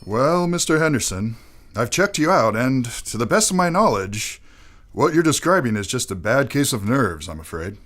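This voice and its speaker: Radio drama doctor played by a male actor: avuncular, unworried, gently patronizing, animated melody in a middle register. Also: medium pitch, normal speed. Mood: reassuring, dismissive. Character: calm, reassuring, mildly dismissive male family doctor. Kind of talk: mid-century radio play acting.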